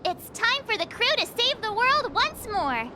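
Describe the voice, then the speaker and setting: A woman's voice: very high-pitched, big pitch swings, unhurried, very noisy, heavily acted. A woman voicing a plucky, eager teenage girl adventurer in a video game, bubbly and emphatic. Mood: gung-ho. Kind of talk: animated video game voice acting.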